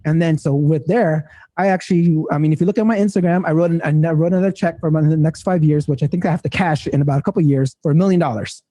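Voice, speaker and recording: A man's voice: fairly high pitch, fast talker, few pauses, dark tone, no echo. A man in his thirties, a guest in a casual interview, talking in a rambling way full of fillers and restarts. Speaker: guest entrepreneur.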